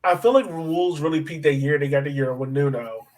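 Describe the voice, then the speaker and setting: Guy w a slightly higher voice hosting a sports pod, real informal, repeats stuff to make his point. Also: fairly quick, a little mellow. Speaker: soccer podcast host. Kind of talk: casual sports discussion.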